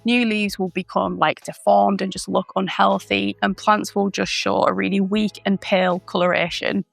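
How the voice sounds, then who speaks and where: A woman who is unwell and croaky, explaining a topic in a chatty, informal way as the host of a channel. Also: medium pitch, level tone, normal speed, no room sound, soft background music. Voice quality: gravelly. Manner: chatty, informal, with fillers and self-corrections. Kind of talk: educational explainer.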